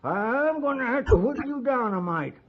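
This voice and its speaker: High-pitched old frontier drunkard. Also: male voice, expressive, moderate pace, full character voice. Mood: belligerent. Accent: rustic American West. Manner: folksy, blustering backwoods talk. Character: drunken, boastful, quarrelsome old frontier man, proud father. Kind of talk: 1950s radio western acting. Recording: muffled vintage 1950s radio recording.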